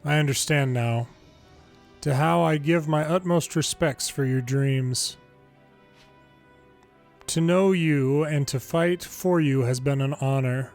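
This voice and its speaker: Male game narrator, slightly high voice, reads story text aloud with casual delivery, faint music underneath. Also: slow pace, pauses often. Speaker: game longplay narrator reading dialogue aloud. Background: video game music.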